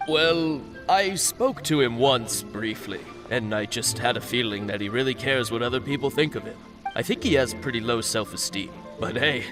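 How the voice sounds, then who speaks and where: A nervous fishmonger at a wharf in a video game, voiced by a man, defensive and quick to stammer. His voice sits in a plain middle pitch, and he hurries to protect his business. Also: close to an everyday voice, rough recording.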